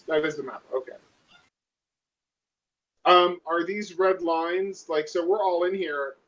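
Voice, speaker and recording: A man's voice: a little high, slow pace, a bit bright. A man playing a tabletop game online, chatting casually, heard through muffled call audio.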